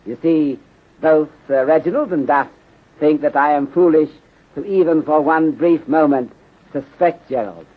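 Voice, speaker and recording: Calm wise detective on 1930s radio, kinda higher voice, formal clipped grammar, muffled old recording. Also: male voice. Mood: measured, thoughtful. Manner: formal, measured, clipped grammar dropping articles.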